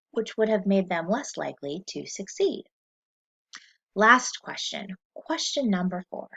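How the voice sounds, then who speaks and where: Slow, animated woman teacher reading aloud. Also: mid-range voice, dull audio. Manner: slow, clear and encouraging, pausing for students to answer. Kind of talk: vocabulary read-aloud lesson for children.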